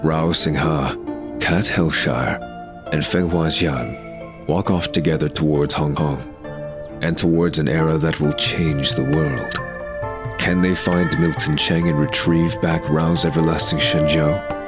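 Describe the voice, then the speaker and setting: Very deep, slow male narrator. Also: fairly even intonation, music in the background. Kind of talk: dramatic scripted serial narration.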